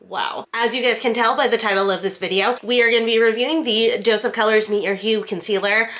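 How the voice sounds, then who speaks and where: A woman's voice: fairly high pitch, steady tone, brisk, fairly bright tone. A young woman reviewing makeup in a chatty vlog, sounding congested and nasal from the flu and filling her talk with "like". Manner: chatty, lots of "like" fillers. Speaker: beauty vlogger. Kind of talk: casual product review.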